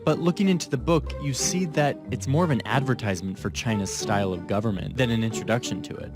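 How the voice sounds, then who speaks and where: A man narrating a documentary in a measured, explanatory way. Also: mid-range voice, music in the background. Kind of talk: scripted narration.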